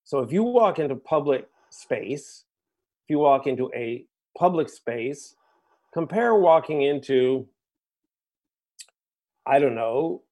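A man's voice: mid-range voice, animated, slow, long pauses, a little mellow, muffled recording. Discursive man checking in with "right?". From United States. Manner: discursive, keeps checking in with "right?" and "you know". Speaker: guest musician. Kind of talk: reflective interview conversation.

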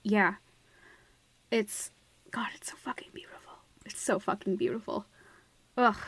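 A woman's voice: medium pitch, measured pace, a bit bright, slightly rough, dry recording, whispered. A woman co-hosting a podcast, discussing theatre in a casual, opinionated way, gushing and repeating phrases for emphasis. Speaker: podcast co-host.

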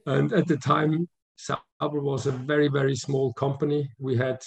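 A man's voice: medium pitch, little pitch movement, normal speed, creaky. A man in his fifties from Switzerland, a guest answering interview questions in a thoughtful way with many restarts. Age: 50s. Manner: thoughtful, frequent restarts and "um"s, chuckles easily. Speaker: guest, motorsport sporting director.

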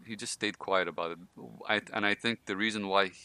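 A man's voice: on the low side, fairly even intonation, fast talker, sharp tone, smooth. A man from Iran hosting a podcast, telling his own life stories in a casual, laughing way with many fillers. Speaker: podcast host.

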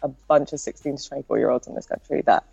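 A woman's voice: rumbling low, quick pace, gravelly, dark tone, breathy edge, dry recording, lo-fi sound. A young woman with a nasal voice, chatting casually as a podcast guest and filling her talk with likes and ums. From Britain. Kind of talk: casual interview chat.

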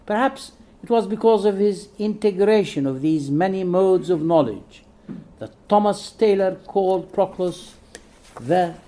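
A man whose first language is not English, reading a prepared scholarly lecture in a measured way with soft hesitations. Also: sits high, animated.

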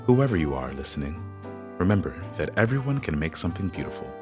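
A man reading the closing credits of an audio drama in a calm, even-toned way. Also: low voice, quick pace. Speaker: credits announcer. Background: guitar music.

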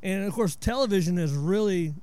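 Mellow-voiced Southern man telling stories. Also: high-pitched, animated, fairly quick, clear, resonant tone. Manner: laid-back storyteller, explains word origins. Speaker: podcast host. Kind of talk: casual chat.

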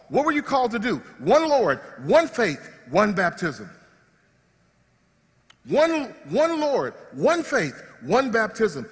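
High-voiced preacher in a reverberant church. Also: male voice. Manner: animated, acts out body parts in comic voices. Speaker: pastor. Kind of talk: sermon. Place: church sanctuary.

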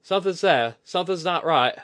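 A man's voice: a little high, sing-song, a little fast, a bit bright, voice pushed up. A man voicing a determined, wry woman mystic in a fantasy audiobook, informal and a little weary. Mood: uneasy.

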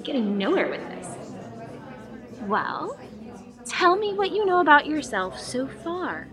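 Actress doing a sneaky mean college girl, sugary n snide, really animated, takes her time talking, audio drama. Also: high voice, slow, a crowd in the background, exaggerated voice. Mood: snide, scheming. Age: young adult. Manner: sugary and coaxing, switching to snide. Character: scheming, two-faced young woman student, sugary then spiteful. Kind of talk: acted audio drama dialogue.

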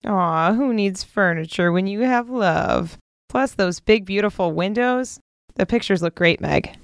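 A woman's voice: medium pitch, measured pace, clean tone, dry recording, close to an everyday voice. Woman acting as sweet shy young American sister, mid-range voice, earnest, casual, close dry sound, audio drama. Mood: warm, teasing.

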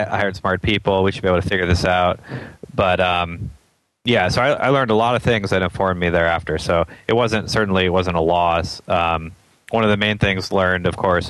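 A man's voice: sits low, fairly bright tone, clear, resonant tone, dry recording, rough recording. A man from Atlanta, a startup founder as an interview guest, thinking aloud in casual chat with many ums and frequent chuckles.

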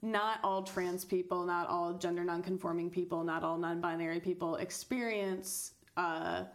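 A podcast host chatting casually and easily with a guest, asking questions and laughing often. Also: female voice, lowish voice, steady tone, normal speed. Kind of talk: casual conversation.

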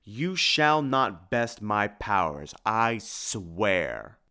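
A man's voice: fairly low pitch, big pitch swings, drawn-out words, pushed and bright, clear, resonant tone, heavily acted. A man voicing a grandiose, menacing vampire villain with pompous theatrical delivery in a storybook. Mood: vengeful.

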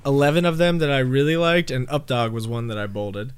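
A congested man chatting casually as a podcast co-host, telling stories with animated energy. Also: mid-range voice, brisk, smooth. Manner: chatty, animated storytelling with laughs. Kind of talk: casual chat over a call. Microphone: remote call mic.

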